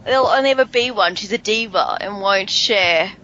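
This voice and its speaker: A woman's voice: medium pitch, bright, forceful tone. A woman co-hosting a podcast, chatting casually and arguing playfully, with a faint Southern twang. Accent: hints of Southern American. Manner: playful, argumentative, drawn-out emphasis. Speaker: podcast co-host. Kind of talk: casual chat. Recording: dull lo-fi podcast audio.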